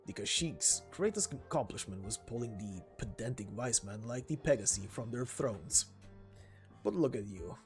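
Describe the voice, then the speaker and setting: A man's voice: medium pitch, expressive, moderate pace, close to an everyday voice. A man voicing a proud, bitterly defiant young male warrior in terse, contemptuous lines from a game, over music. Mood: scornful. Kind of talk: dramatic reading of game dialogue.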